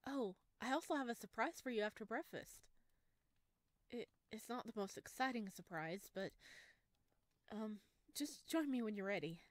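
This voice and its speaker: A woman's voice: fairly high pitch, animated, moderate pace, dry recording, natural voice. Woman voicing a shy, hesitant forest creature boy. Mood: gentle, shy. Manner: gentle, hesitant, stammering. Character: sweet, shy, eager male forest creature host. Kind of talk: visual novel character read-aloud.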